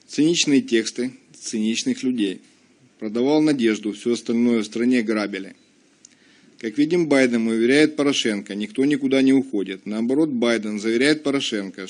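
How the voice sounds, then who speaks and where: A man's voice: mid-range voice, a little mellow. A man presenting a prepared political statement in a formal, accusatory manner. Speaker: presenter commenting on recordings.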